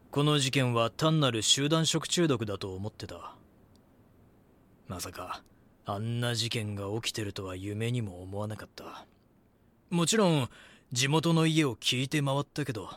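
A man's voice: medium pitch, a bit bright. A man playing an eager, dogged young magazine reporter in an audio drama, polite and deferential. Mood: reflective. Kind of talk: Japanese audio drama dialogue and narration.

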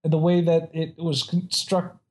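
A man's voice: a little high, level tone, a little slow. A man casually chatting and reading out odd facts, rambling with many restarts and frequent laughs.

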